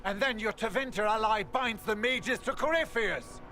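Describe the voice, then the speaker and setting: An actor playing a stern, defiant veteran warrior in a fantasy game, forceful and accusing. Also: male voice, sits high, moderate pace, background noise. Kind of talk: dramatic fantasy game acting.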